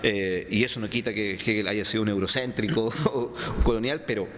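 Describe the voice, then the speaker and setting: A man from Chile with a Chilean accent, a philosopher answering interview questions in a halting way, full of fillers and restarts. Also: lowish voice, steady tone, fairly bright tone. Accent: Chilean Spanish. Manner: hesitant, many fillers and restarts. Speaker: philosopher guest. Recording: reverberant room, faint background noise.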